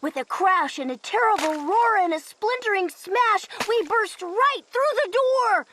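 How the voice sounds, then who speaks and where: Very high-voiced woman reading a children's story. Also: animated, unhurried, sharp tone, a little hiss or hum. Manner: theatrical, dramatic delivery for children. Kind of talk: expressive children's picture book read-aloud.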